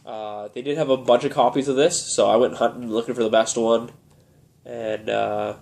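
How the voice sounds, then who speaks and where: A man's voice: medium pitch, moderate pace, creaky. Young guy with a cold, nasal and stuffy, rambling casual vlog chat, lots of uhs. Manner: relaxed, rambling, many "uh"s. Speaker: video game collector vlogger. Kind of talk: casual show-and-tell vlog.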